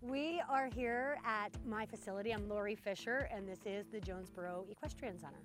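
A woman's voice: medium pitch, a little fast, soft background music. Down-to-earth woman ranch owner. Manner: plain, down-to-earth, with fillers. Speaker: equestrian center owner. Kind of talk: casual interview answers.

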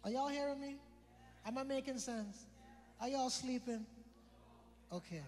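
Guy preaching, super high voice, loose and jokey, repeats lines, big hall echo. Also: a little slow, darkish tone. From British Virgin Islands. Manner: conversational, joking, repeats phrases for emphasis. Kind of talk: sermon. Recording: long hall reverb with faint music.

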